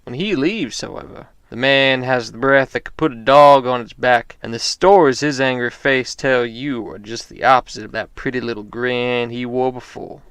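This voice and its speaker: A clean, close and dry recording of a man acting as a homeless noir informant. His voice is smooth and mid-pitched, with a theatrical, wordy delivery. He sounds wry, knowing and world-weary, like the narrator of a hard-boiled radio mystery. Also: normal speed, hardly any pauses, a bit bright, no room sound, natural voice. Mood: wry, grim. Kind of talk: scripted noir audio drama monologue.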